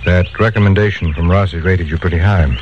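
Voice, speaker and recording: Man as a low-voiced radio gang boss. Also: brisk, close to an everyday voice. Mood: confiding. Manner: controlled, authoritative, streetwise. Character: measured, businesslike bootlegging gang boss. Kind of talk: 1940s radio crime drama acting. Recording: dull, faintly noisy old radio broadcast.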